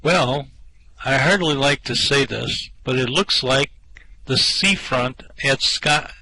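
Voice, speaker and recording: A man's voice: medium pitch, slow pace, pushed and bright, slight background noise, exaggerated voice, rough recording. A man playing a hapless, anxious Englishman stranded in space in a comic radio play, plaintive, exasperated and dry. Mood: bewildered, glum. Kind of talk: comic science fiction radio play acting.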